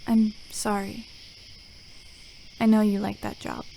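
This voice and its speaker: A woman playing a bold, caring, mischievous girl in an audio drama, gentle and unbothered. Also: mid-range voice, little pitch movement, takes it slowly, vocal fry, a little hiss or hum. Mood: sympathetic.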